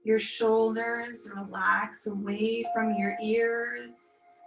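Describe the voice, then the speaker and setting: A woman teaching yoga, leading a guided meditation in a soothing, unhurried, gently instructive manner. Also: a little high, level tone. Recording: roomy echo, music behind. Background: music.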